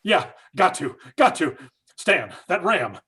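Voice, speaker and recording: A man's voice: high-pitched, sing-song, slow, sharp tone, full character voice. An actor playing a desperate, guilt-stricken father in an old-time radio horror play, stammering and agitated. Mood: frantic. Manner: agitated, stammering, breathy exclamations. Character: desperate, guilt-stricken father searching for his abducted daughter.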